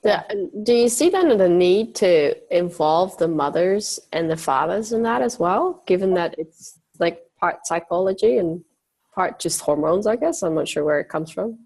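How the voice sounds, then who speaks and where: A woman's voice: on the low side, expressive, moderate pace, a little mellow, poor audio. A woman from the Netherlands hosting a casual interview in an informal, joking way. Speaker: interviewer, host.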